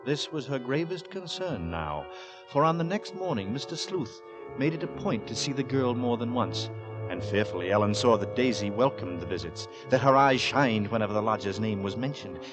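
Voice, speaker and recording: A man narrating a 1940s radio suspense tale in a deliberate, ominous manner. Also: mid-range voice, expressive, lightly acted, rough recording. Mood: grave, foreboding. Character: grave male narrator of a Victorian suspense tale. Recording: vintage 1940s radio drama, music underneath.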